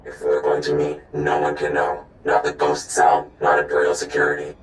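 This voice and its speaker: A man's voice: very deep voice, completely flat delivery, moderate pace, roomy sound. An actor playing a suspicious, demanding male informant who speaks in a terse, distrustful way in a video game. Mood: wary, irritated.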